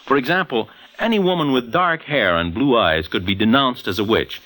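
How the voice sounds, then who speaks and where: A man's voice: mid-range voice, animated, moderate pace, bright, forceful tone, poor audio. An American man hosting in an emphatic, polished 1940s newsreel style on vintage radio. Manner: dramatic, emphatic, polished announcer delivery. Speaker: radio host and commentator.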